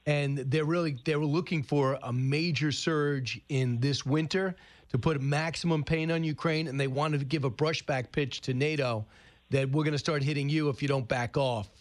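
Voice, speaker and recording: A man's voice: a little high, fairly even intonation, normal speed. A man hosting a live current-affairs radio interview, talking conversationally with informal, sporty turns of phrase. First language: English. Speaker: radio show host and interviewer.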